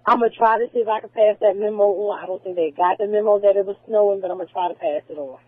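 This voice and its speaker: Male radio voice, high-pitched and rapid, police transmissions. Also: steady tone, dry recording. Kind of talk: radio traffic. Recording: dry, tinny police radio.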